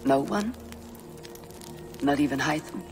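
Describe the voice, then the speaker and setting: An actor playing a curious, reflective Viking warrior who speaks hesitantly in a video game cutscene. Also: male voice, a little high, slow pace, music in the background. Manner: hesitant, probing.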